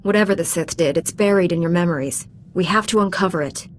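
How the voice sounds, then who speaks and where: A woman playing a calm, protective Jedi master in a video game, direct and authoritative. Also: fairly low pitch, quick pace, slight background noise, natural voice. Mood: supportive. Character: composed, protective female Jedi master, firm and loyal. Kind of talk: scripted video game dramatic acting.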